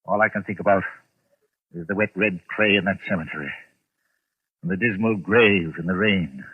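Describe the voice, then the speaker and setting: A man's voice: deep voice, normal speed, husky, phone-quality sound. An actor playing a desperate, haunted wealthy man in an agitated, stammering, insistent manner, in the style of vintage radio suspense. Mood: dread. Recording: crackly old radio drama, boxy room echo.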